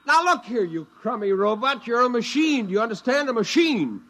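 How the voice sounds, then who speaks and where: A man's voice: very high-pitched, big pitch swings, fairly quick, fairly bright tone, exaggerated voice. A man playing an exasperated spaceman, emphatic and wry, in a 1950s radio drama. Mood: furious. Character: exasperated spaceman narrating his kidnapping by a robot.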